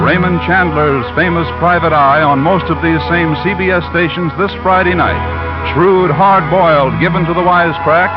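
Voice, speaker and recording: An American man announcing from a script in the polished style of 1950s network radio. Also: sits high. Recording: dull vintage radio, faint music behind.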